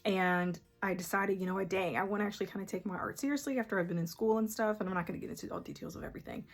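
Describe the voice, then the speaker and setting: Quiet, husky-voiced young woman. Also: medium pitch, racing. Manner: soft-spoken, many fillers and restarts. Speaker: artist explaining her small art business. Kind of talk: casual rambling vlog.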